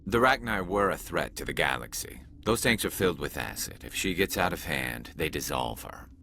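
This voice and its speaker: Gruff alien warrior: extremely low, gravelly, forceful, blunt and suspicious, game drama. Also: male voice, lively intonation, moderate pace, a little hiss or hum, exaggerated voice. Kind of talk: dramatic video game voice acting.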